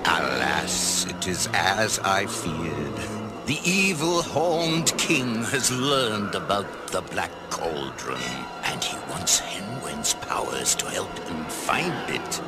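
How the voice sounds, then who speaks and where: A man's voice: low-pitched, big pitch swings, slow, over loud music, full character voice. A man voicing a solemn, worried old wizard in a theatrical storybook drama. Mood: worried, urgent.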